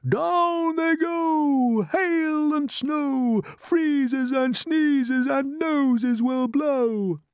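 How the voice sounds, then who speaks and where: High, drawn-out wispy cloud creature. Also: no echo, heavily acted. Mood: gleeful chanting. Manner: shouting, drawn-out chanting. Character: tall wispy male cloud creature, bossy chanting foreman. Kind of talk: children's storybook character voice.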